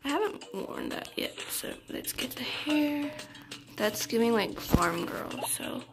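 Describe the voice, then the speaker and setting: A woman's voice: on the high side, lively intonation, slow, very noisy. A young girl chatting casually over her game, rambling and giggly as she talks to her viewers. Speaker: channel creator. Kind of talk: casual gameplay chat. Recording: game music underneath.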